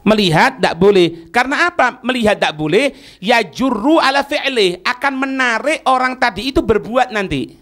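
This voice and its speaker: A man's voice: extremely high pitch, lively intonation, moderate pace, sharp tone. A man with an Indonesian accent giving an Islamic lesson, reading a text and explaining it didactically with repeated phrases and checks on understanding. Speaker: Islamic teacher.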